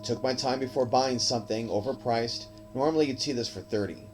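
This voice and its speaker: A man hosting his own independent show, talking in a rambling, unscripted way, halting and drifting into asides. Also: mid-range voice, music in the background. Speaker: host of an independent podcast and TV news show. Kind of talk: rambling unscripted monologue to listeners.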